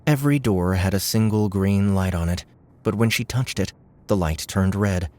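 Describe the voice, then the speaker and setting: A man narrates an adventure audiobook in a low-pitched voice. He delivers scripted third-person prose as a storyteller. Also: moderate pace, darkish tone.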